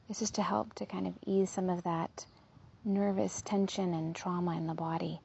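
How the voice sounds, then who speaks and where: Female meditation guide, mellow and husky with some creak, soothing and unhurried, slightly muffled recording. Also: mid-range voice. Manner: soothing, gentle, unhurried with soft trailing phrases. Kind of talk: guided body scan meditation.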